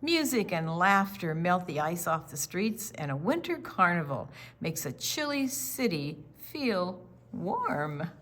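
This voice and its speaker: A woman reading a picture book aloud to young children in an expressive, question-and-answer storytime style. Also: on the low side, slow pace.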